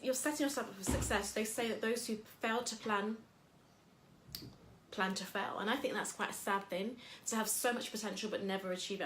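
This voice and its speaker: A woman's voice: mid-range voice, a bit bright, roomy sound, slight background noise, rough recording. A woman from England hosting a casual motivational talk. She talks in a chatty, rambling way with lots of fillers. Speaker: host.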